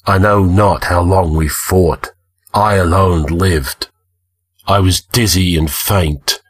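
A man's voice: on the low side, steady tone, measured pace, fairly bright tone. A man voicing a grim, haunted barbarian warrior with a hazy, dazed delivery in a fantasy audiobook.

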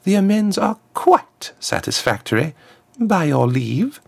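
A man's voice: high-pitched, big pitch swings, measured pace, voice pushed up, full character voice. A haughty woman ambassador, acted out by a male reader, lifted well above his natural register. The delivery is formal and smug, swooping with silky menace in storybook villain fashion. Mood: taunting. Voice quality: smooth, lifted contralto.

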